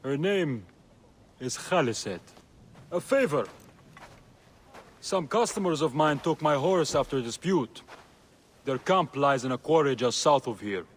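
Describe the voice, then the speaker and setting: A lively, rather high voice that roams up and down: a wheedling merchant who loves a joke. A faint hiss of noise sits under his game cutscene lines. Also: a little slow, long pauses. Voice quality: teasing, wheedling. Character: sly, joking market trader.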